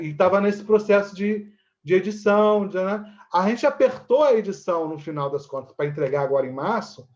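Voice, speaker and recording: A man's voice: a little high, dull audio. A man with a Brazilian Portuguese accent explaining things conversationally as an interview guest, often checking in with little tag questions. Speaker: interview guest, theatre teacher and actor.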